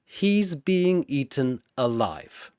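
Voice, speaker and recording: A man's voice: mid-range voice, sing-song, extremely slow, a little mellow, no room sound, clean studio sound. A man narrating a scripted battle story in a theatrical, suspenseful manner.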